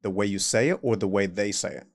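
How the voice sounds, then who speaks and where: A man's voice: lowish voice, fast, no room sound, studio quality. A man hosting a podcast, chatting casually, asking probing questions and often answering with short affirmations. He speaks with an accent. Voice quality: speaks with a non-native accent. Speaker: podcast host. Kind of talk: casual interview chat.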